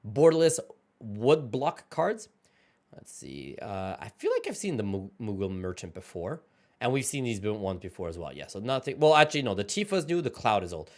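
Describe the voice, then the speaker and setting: A man hosting a card game channel, giving casual excited reaction commentary as he reads card text aloud, his voice going hoarse and his throat often clearing. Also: on the low side, moderate pace. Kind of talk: casual reaction commentary while scrolling an article.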